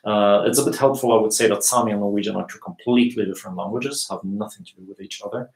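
A man in his thirties answering viewers' questions in a relaxed live lesson, pausing often with fillers, on a webcam in a small room. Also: on the low side, creaky. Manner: relaxed, thinking aloud with many fillers. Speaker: language teacher. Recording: echoey room.

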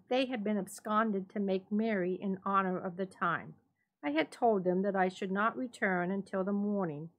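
A woman narrator gives a dramatic reading of a story. Her voice sits at a medium pitch, and she delivers the prose in a measured, deliberate way.

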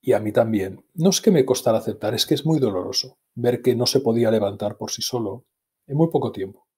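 Husky Spanish man, calm and reflective. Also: medium pitch. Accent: Spanish from Spain. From Spain. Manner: calm, measured, reflective, restarts words. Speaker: guest. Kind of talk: reflective personal storytelling. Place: home study with bookshelves. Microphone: earphone mic on video call.

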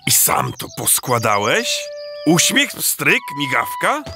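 An actor playing a jovial, teasing village neighbour in a rhymed children's radio play, with playful theatrical exclamations. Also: male voice, on the high side, huge pitch swings, slow, gravelly, music in the background, heavily acted. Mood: curious, needling. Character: teasing, jovial village neighbour man urging a bachelor to marry.

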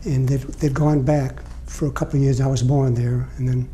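Older man in his 70s, deep dark tone, halting delivery, light hiss behind. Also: little pitch movement. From Portugal. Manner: hesitant, many fillers and restarts. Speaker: interviewee, veteran. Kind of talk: oral history interview. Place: library room.

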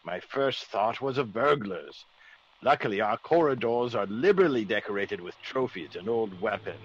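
An actor playing a genial, excitable aristocratic country squire, well-bred and blustering, in classic radio drama. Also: male voice, on the low side, normal speed, breathy edge. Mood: narrating, earnest. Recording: dull, faintly noisy old radio recording.

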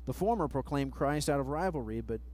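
A middle-aged man preaching a sermon at a church pulpit mic, reading scripture slowly and letting phrase ends trail off. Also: medium pitch, lively intonation, darkish tone. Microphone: pulpit microphone, far camera.

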